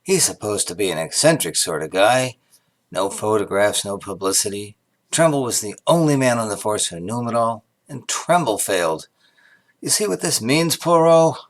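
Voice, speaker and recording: An actor playing an agitated, worried police inspector in a radio-style mystery, urgent and exasperated. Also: male voice, lowish voice, lively intonation, moderate pace, natural voice. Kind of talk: theatrical radio-mystery acting.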